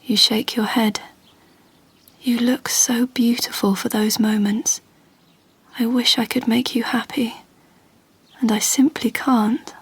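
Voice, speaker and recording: A husky, hushed wistful young lady. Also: fairly high pitch, little pitch movement, takes it slowly, pauses often, heavily acted. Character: wistful young lady's private inner thoughts. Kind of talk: intimate radio drama monologue.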